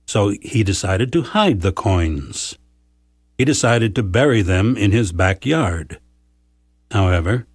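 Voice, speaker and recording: A man narrating folktales from a script for learners, with measured, careful enunciation. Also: on the low side, breathy edge, dry recording.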